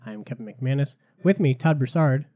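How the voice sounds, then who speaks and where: A man hosting a casual gaming podcast, a little sick and raspy, chatty and loose, laughing often. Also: medium pitch, expressive, a little fast, mellow. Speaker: podcast host.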